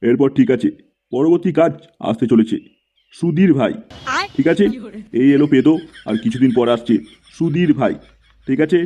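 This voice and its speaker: A man's voice: mid-range voice, moderate pace, pauses often, mellow, slightly rough, highs cut off, close to an everyday voice. A man voicing a boastful, crude, self-styled celebrity in a comedy cartoon. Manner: pompous, swaggering.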